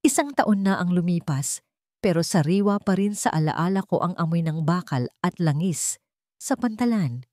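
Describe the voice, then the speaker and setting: Low-pitched woman narrating a dramatic story. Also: animated, normal speed, darkish tone, no room sound, studio quality.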